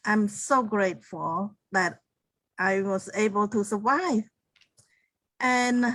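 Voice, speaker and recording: Slow, earnest middle-aged woman. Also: medium pitch, fairly bright tone, no echo, poor audio. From Cambodia. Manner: slow, earnest, simple phrasing. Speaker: co-host and speaker. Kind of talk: personal story on a video call. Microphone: video call.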